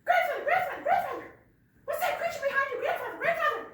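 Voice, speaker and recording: A squeaky, excited little rabbit , acted out by a woman storyteller, calling out over and over, nosy and insistent. The voice is very high and rings in an echoey room, heard from far off. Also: quick pace.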